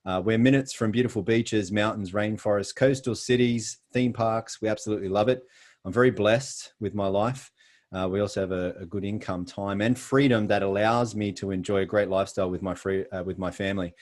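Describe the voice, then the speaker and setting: A man's voice: on the low side, steady tone, a little fast, darkish tone. Earnest Australian man presenting. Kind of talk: sales presentation. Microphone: video call.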